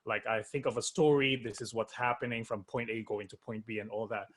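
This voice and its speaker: A man's voice: on the low side, steady tone, a little fast. A man taking part in an online discussion among composers, speaking hesitantly with many fillers as he works out his thoughts. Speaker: composer, panel guest.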